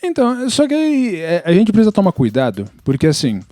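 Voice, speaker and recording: A man's voice: high voice, huge pitch swings, brisk, dark tone, dry recording, clean studio sound. A Brazilian man explaining things casually on a podcast, often checking in with tag questions. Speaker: podcast panelist.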